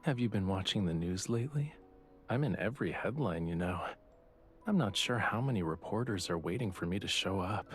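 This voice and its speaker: An actor playing a self-assured yet quietly vulnerable young artist in a romance game, speaking softly and intimately with a teasing touch. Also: male voice, sits low, lively intonation, fairly quick, faint music behind. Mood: playful, boastful.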